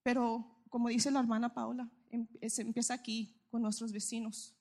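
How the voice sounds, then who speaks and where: A woman giving a heartfelt, halting church testimony, pausing often with fillers. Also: fairly high pitch, little pitch movement, darkish tone.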